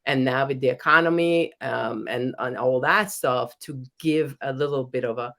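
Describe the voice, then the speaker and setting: A woman's voice: a bass voice, moderate pace, fairly bright tone. A middle-aged woman from Hungary with a Hungarian accent, a professor and author talking earnestly as a podcast guest, often restarting her sentences. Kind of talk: podcast interview.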